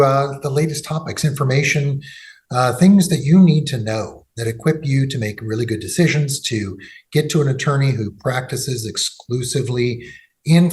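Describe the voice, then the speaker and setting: A man's voice: mid-range voice, a little mellow. A man hosting a legal podcast, talking in a loose conversational way with fillers and restarts. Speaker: podcast host and law firm executive.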